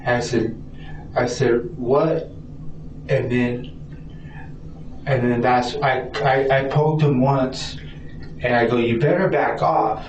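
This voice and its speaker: A man gives a halting account as a suspect in a police interview. He stammers, repeats words and breaks off, often voicing remembered dialogue. The sound is distant and echoey, with clear noise. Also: medium pitch, steady tone. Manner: stammering, repetitive, fragmented, acting out quoted dialogue. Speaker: suspect being questioned by police. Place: indoor police interview room. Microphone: distant room recording. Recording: echoey room, distant muffled mic, noisy.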